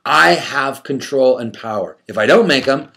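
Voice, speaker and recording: A man's voice: mid-range voice, animated, moderate pace, creaky, pushed and bright. A man giving a conversational motivational coaching talk to an audience, repeating phrases emphatically, asking rhetorical questions and acting out his inner self-talk.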